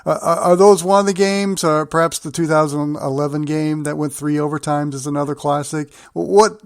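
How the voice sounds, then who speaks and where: A fairly high-voiced American man in a very dry recording hosts a sports interview. His phrasing is conversational, deliberate and halting. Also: fairly even intonation, creaky, dry recording. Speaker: sports show host, interviewer.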